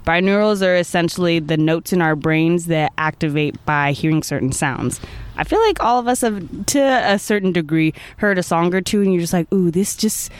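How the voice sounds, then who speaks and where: Smooth-voiced young podcast guest, rambling. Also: mid-range voice, normal speed. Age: 20s. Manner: rambling, many "like" and "I feel like" fillers, laughs often. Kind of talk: casual interview chat.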